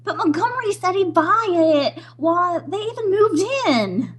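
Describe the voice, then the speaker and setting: High-voiced, jittery young wife. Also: female voice, animated, unhurried. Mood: disheartened. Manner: anxious, pleading, wry. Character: nervous, easily spooked young wife. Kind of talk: old-time radio comedy acting.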